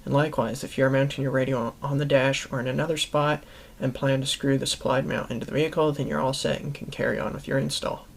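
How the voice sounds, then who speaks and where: A man's voice: mid-range voice, steady tone, fast talker, vocal fry. A man hosting a how-to video, giving instructional narration to viewers. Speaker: host of a how-to product video series.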